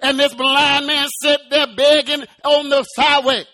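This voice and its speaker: Male preacher, very high and bright, sung-shouted rhythmic preaching, repeated call-outs to the congregation. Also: level tone, measured pace, soft background music. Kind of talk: sermon. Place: church.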